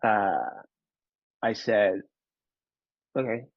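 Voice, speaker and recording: A man, telling his personal story in an interview, candid and halting, often repeating words. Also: fairly low pitch, fairly even intonation, no echo, highs cut off. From Finland. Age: 30s. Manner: candid, casually profane. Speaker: podcast guest.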